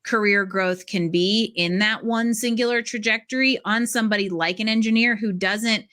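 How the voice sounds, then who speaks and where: A woman hosting a conversational podcast interview, explaining things in an animated, casual way. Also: medium pitch, moderate pace. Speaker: podcast host interviewing a guest.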